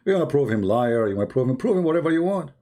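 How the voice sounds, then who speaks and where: Sarcastic, halting male debate host. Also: medium pitch, sing-song, mellow. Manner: sarcastic, mocking, teasing; halting speech with frequent fillers. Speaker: host of a call-in debate show. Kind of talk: live call-in debate stream.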